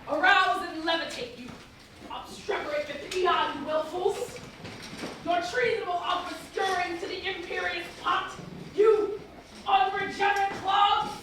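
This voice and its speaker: A pompous imperial interrogator , played by a woman, harangues from a distance in a very echoey hall. The high voice is orotund and bombastic, delivered as satirical stage theatre. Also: a bit bright. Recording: echoey hall from far off, faint noise.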